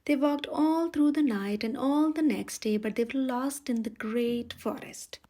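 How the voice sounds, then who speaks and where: A woman's voice: sits high, unhurried, mellow. A woman storyteller reading a children's picture book aloud as scripted narration. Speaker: storyteller, channel host.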